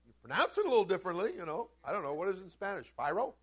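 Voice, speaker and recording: High-pitched preacher man, folksy. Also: rapid-fire. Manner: animated, swinging from quiet conversational asides to loud emphatic shouts. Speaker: senior pastor preaching. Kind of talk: Pentecostal-style sermon with storytelling.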